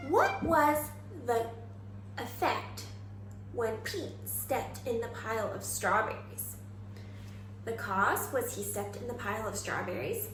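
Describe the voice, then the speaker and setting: A woman teacher giving an expressive, exaggerated children's read-aloud and simple lesson, prompting listeners with questions. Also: a little high, slow pace. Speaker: kindergarten teacher reading aloud. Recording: echoey room, faint background noise.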